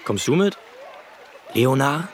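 A man's voice: mid-range voice, animated, slow pace, a faint crowd, slight background noise. A man playing a cocky, condescendingly friendly young jock, breezy and swaggering in casual radio drama dialogue. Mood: breezy, goading.

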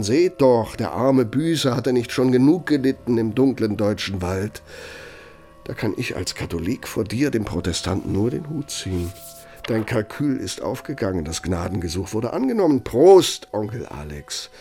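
An actor in a radio drama playing an embittered, proud older German man, a betrayed confidant who speaks with deliberate, sardonic reproach in a gravelly, husky voice. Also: medium pitch, darkish tone. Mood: mocking.

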